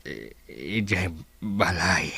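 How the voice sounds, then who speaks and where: An ailing, weary father in a radio drama with an Ilocano accent. He drags out each word slowly in a low voice, stammering and breaking off as he struggles to speak. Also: expressive, creaky, bright, forceful tone, husky, no echo, heavily acted. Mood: weary, tender. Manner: halting, heavily stammering, broken words.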